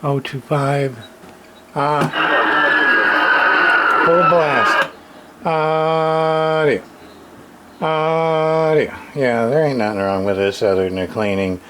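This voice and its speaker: A man giving a casual hands-on repair demonstration, muttering to himself in halting short phrases with plain, folksy, mildly salty wording. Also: a little high. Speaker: radio repairer, channel host. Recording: clearly noisy room recording, background ambience.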